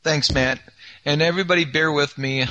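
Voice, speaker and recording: A man with a raspy, worn voice going through market scenarios, pausing often with filler sounds. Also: fairly high pitch, fairly even intonation, bright, forceful tone, muffled recording. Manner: halting, many filler uhs. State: raspy voice after yelling. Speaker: market analyst co-host. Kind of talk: market analysis talk.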